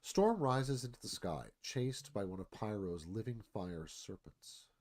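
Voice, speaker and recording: A man's voice: on the low side, expressive, unhurried, darkish tone. A man narrating a screenplay, reading action and stage directions as dramatic scripted narration.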